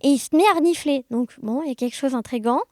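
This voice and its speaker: Woman, very high voice, casual storyteller, animated delivery, podcast guest, dry close sound. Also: quick pace, no room sound. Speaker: podcast guest, blind guide dog user sharing experiences.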